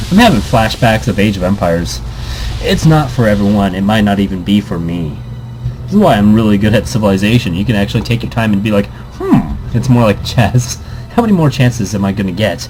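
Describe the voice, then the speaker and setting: A man doing casual let's play commentary, reading game text aloud and breaking off into rambling asides. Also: on the low side. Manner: rambling, self-interrupting asides. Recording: noisy amateur home recording.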